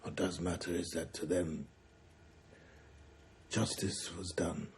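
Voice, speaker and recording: Weary scientist, very low, hushed. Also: male voice, level tone. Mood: weary, resigned. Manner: resigned, halting. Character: weary, disillusioned Soviet scientist recording a secret confession. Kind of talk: confessional monologue in screen drama. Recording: faint noise, distant cassette-tape monologue.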